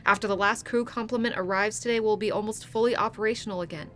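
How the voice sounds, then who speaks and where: An actress playing a warm but commanding female starship captain in a sci-fi audio drama, teasing and authoritative. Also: medium pitch, fairly even intonation, fast, soft background music, close to an everyday voice. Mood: friendly, probing.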